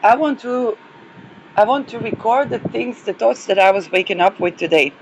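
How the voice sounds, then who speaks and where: A woman's voice: fairly high pitch, expressive, moderate pace, highs cut off, a little hiss or hum. A woman hosting an astrology podcast, losing her voice, talking in a rambling solo monologue full of hesitations and restarts. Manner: hesitant, many fillers and restarts.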